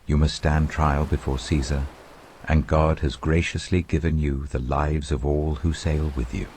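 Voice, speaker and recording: A man's voice: very deep voice, fairly even intonation, measured pace, darkish tone, a little hiss or hum. A man voicing an earnest, commanding male apostle in a scripture reading, with urgent, emphatic, reassuring oratory.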